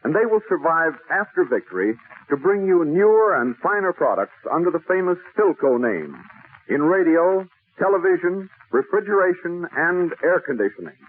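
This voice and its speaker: A man's voice: sits high, sing-song, normal speed, husky. An American man reading a formal, oratorical sponsor announcement in 1940s radio style. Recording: tinny, noisy 1940s radio recording.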